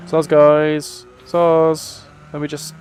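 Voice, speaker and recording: A man's voice: on the high side, completely flat delivery, very slow, a little mellow, faint music behind. A man chatting casually as he streams a video game, with dry, wry asides. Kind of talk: casual livestream gaming chat and commentary.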